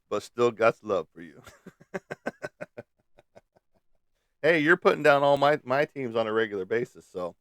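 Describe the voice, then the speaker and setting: A man's voice: mid-range voice, clear, resonant tone, no room sound, studio quality. A man hosting a casual chat who teases a viewer about sports and chuckles often as he talks. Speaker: host. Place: at a desk indoors.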